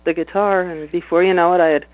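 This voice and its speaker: A woman with a slightly low speaking voice, a musician chatting casually about her music in an interview, relaxed and laughing easily. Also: fast, dry recording. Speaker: musician guest. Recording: tinny phone line.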